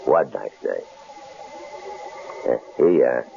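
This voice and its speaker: An actor playing a big, rough, menacing drifter with a coarse, mocking, halting way of talking, in a 1970s radio drama. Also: male voice, rumbling low, lively intonation, mellow, exaggerated voice. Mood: needling. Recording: dull, noisy old radio broadcast.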